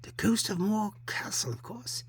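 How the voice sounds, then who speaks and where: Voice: medium pitch, lively intonation, normal speed, husky, dry recording, pitched above the performer's own voice. A reader voicing a blustering, boastful inventor in a comic book story, pompous and accusing.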